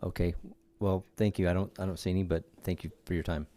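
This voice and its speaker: A man chairing a public meeting, moving it along in a brisk, polite, procedural way. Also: sits low, flat delivery, dark tone.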